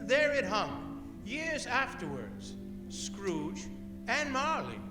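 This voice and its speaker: Man storyteller, high voice, big reverb. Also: big pitch swings. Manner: measured storyteller phrasing. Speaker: radio play narrator and announcer. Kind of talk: scripted radio play narration. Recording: reverberant live hall, music behind.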